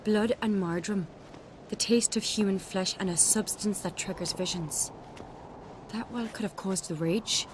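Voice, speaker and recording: An actress playing a bold, sharp-minded young noblewoman of a warrior clan in a fantasy video game, direct and confident. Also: mid-range voice, a little slow, noisy background, close to an everyday voice. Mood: thoughtful. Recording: prominent game music behind the voice.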